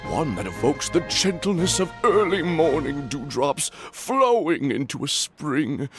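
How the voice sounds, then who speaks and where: A feisty frog with a creaky, fairly high voice. The manner is theatrical and teasing, a spirited fantasy game creature. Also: male voice, full character voice. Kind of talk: video game voice acting.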